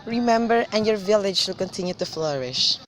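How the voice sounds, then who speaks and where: A woman's voice: medium pitch, expressive, brisk, close to an everyday voice. Solemn mysterious stranger woman played by an actress, faintly noisy. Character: mysterious female stranger from the mountains, solemn and gentle. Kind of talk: student radio drama acting.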